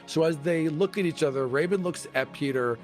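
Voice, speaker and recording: A man's voice: a little high, fairly quick, darkish tone. A playful man hosting a podcast and telling the story of a tabletop game in a casual style. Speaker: podcast host and game player. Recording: music playing behind the voice.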